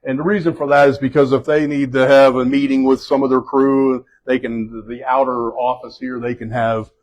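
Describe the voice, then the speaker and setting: A fire chief leading a group around a building indoors, speaking loudly in a casual, halting way with many fillers and restarts. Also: male voice, medium pitch, level tone. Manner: loud voice. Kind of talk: casual spoken tour guiding.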